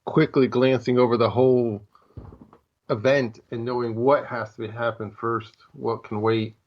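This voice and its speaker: A man chatting casually as a podcast co-host, agreeable and quick to chime in. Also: mid-range voice, level tone. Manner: agreeable, brief interjections. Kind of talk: casual chat. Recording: slightly dull, lo-fi sound.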